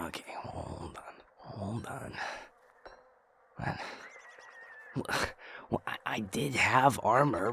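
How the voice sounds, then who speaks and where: A man as an eager, breathy young gamer buddy. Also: on the low side, pushed and bright, exaggerated voice. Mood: panicked. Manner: halting, playful, pleading banter. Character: eager, teasing young male gamer best friend. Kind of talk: casual conversational audio roleplay acting.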